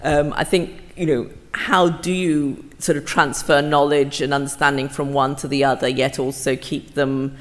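A woman moderating a conference panel from a lectern mic, asking questions in a halting, searching way. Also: deep voice, flat delivery. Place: lectern in a large conference hall. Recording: long reverb of a large hall.

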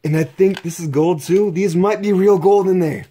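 A young man narrating his own exploration vlog, excitedly exclaiming over what he finds while filming up close with a handheld camera. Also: high voice, moderate pace, husky. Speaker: exploration vlogger.

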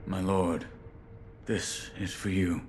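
An actor playing a stoic, loyal shinobi warrior in a video game, speaking in terse, grave, restrained phrases. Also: male voice, low voice, little pitch movement, slow pace, a little mellow, slight background noise. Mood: respectful, grave.

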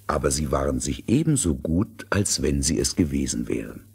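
A man narrating a fairy tale as a measured, expressive storyteller with a deep husky voice. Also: full character voice. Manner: deliberate, expressive.

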